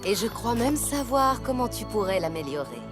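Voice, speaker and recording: A woman voicing a regal pony princess of dreams in a French cartoon dub, calm, wise and solemn. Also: medium pitch, normal speed, music in the background, lightly acted.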